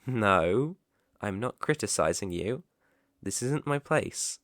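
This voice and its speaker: A man with a British accent playing a polite, devoted butler in an ASMR roleplay, speaking formally and deferentially with soft attentiveness. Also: fairly low pitch, animated, slow pace, no echo, studio quality, exaggerated voice. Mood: lightly teasing. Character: polite, devoted young male butler, gently teasing.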